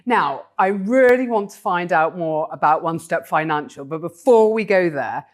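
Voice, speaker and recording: A woman's voice: fairly low pitch, lively intonation, normal speed. A woman hosting an interview, asking encouraging, enthusiastic questions. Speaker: host.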